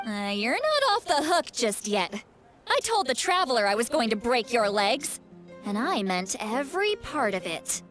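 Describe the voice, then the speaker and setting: High-voiced, fiery scolding granny leader. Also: female voice, very animated, unhurried, a bit bright. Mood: teasing, stern. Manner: bossy, teasing, blunt. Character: fiery, scolding woman leader, a tough granny with hidden fondness. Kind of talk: video game voice acting. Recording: music playing behind the voice.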